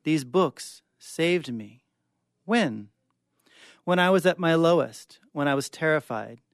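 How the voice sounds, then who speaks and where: A man's voice: a little high, lively intonation, slow pace, gravelly, dry recording. A man reading short stories dramatically as a guest in a radio studio. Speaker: guest reader.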